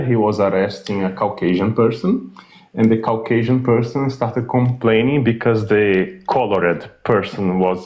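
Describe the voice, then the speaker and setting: A man with a lowish voice telling a story slowly and emphatically. He is a relaxed participant in a group live stream.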